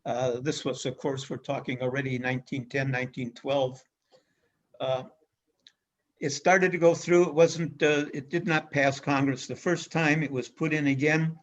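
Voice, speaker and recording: A man giving an illustrated history lecture as a guest speaker, speaking in a measured way with frequent pauses and fillers. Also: medium pitch, steady tone. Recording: dull lo-fi recording.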